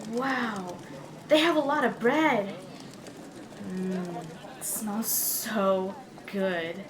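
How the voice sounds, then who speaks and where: A woman's voice: medium pitch, drawn-out words, noisy background. A woman playing a mild, hesitant banjo-playing traveler in an audio drama. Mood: easygoing. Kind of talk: scripted audio drama acting.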